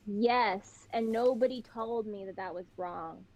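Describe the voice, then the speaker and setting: A young woman with a Pittsburgh accent talks as a podcast guest, telling stories in an animated, chatty way. Her voice sits at a middling pitch. The recording is a little dull and lo-fi. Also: unhurried, over a noisy crowd. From Pittsburgh, Western Pennsylvania. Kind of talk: casual chat. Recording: slightly dull, lo-fi sound.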